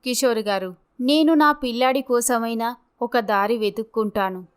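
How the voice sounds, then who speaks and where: A woman's voice: on the high side, moderate pace, clear, resonant tone, natural voice. A woman playing a dignified, earnest young mother abandoned by her husband, polite in manner, in a dramatised story. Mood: grateful, resolved.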